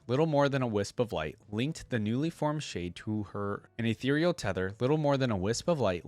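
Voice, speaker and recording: A man with a fairly low voice hosts a reaction video. He moves between informal, halting commentary and reading a story aloud. The sound is dry, with very little room in it. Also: no echo.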